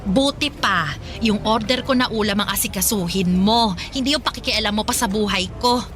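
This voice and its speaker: A woman's voice: medium pitch, normal speed, fairly bright tone, lightly acted. An actress playing a brazen, flirty, freeloading middle-aged single mother in a Filipino radio drama, sassy and teasing with coquettish wheedling. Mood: brushing off. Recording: music behind the voice.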